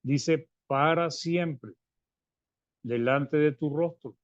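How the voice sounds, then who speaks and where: A man preaching a Bible-study sermon, reading verses and explaining them, calling his listeners brothers, with halting restarts and phrase ends that fade quietly. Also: on the high side, no room sound. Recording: dry, slightly dull sound.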